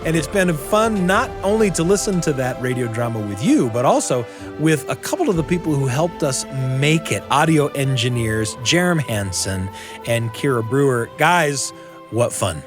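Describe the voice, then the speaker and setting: A man's voice: mid-range voice, lively intonation, normal speed, music in the background. An American man hosting a radio show, chatting and interviewing in a reflective, folksy, exclamatory way. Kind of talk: conversational interview.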